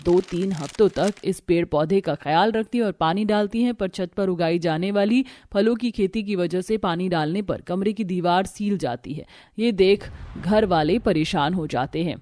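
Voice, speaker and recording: A woman's voice: lowish voice, normal speed, warm tone, no room sound. A woman narrator reading a scripted family story for a cartoon.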